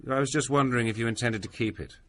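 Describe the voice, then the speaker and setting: An actor playing a sceptical gentleman who questions others in a dry, ironic manner. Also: medium pitch, quick pace, natural voice. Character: cool, sceptical gentleman male investigator. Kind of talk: period radio drama acting.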